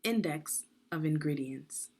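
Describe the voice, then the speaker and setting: A woman with a lowish voice reads instructional text to young children. Her delivery is unhurried and deliberate, with lively rises and falls in pitch. She explains as a teacher does, pointing things out step by step. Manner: deliberate, explanatory, checking in with questions. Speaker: reading teacher, narrator of a children's book read-aloud.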